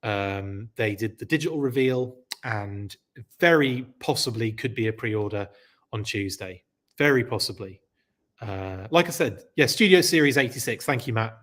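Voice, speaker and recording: A man's voice: lowish voice, lively intonation, normal speed, a bit bright. A man hosting a live stream, chatting loosely and reading viewer comments aloud, his throat a bit scratchy. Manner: chatty, rambling, self-correcting. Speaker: podcast host.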